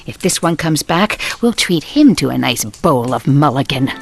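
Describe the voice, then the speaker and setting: A woman's voice: deep voice, animated, normal speed, vocal fry, over loud music. A woman playing a murderous, bitterly vengeful mother with genteel, mock-polite manners in an old-time radio drama. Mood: stern, menacing. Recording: noisy vintage radio broadcast.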